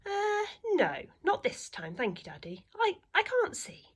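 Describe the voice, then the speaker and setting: A woman voicing a small, anxious boy barn owl chick in a high, eager voice. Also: huge pitch swings, a little slow, heavily acted. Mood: hesitant.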